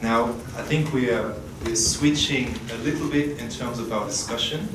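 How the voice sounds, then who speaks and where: A man chairing a conference session, introducing a keynote speaker in a polite, halting way. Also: medium pitch. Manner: polite, halting with many pauses. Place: conference room. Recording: echoey room, distant mic, loud background noise.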